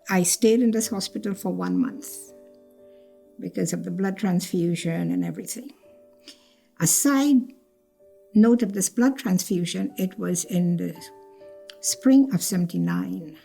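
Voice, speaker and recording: Older Trinidad-born woman, gravelly and warm. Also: on the low side, a little slow, pauses often, faint music behind, poor audio. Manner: reflective, repeats phrases, acts out quoted speech. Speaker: cancer survivor telling her story. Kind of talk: personal storytelling interview.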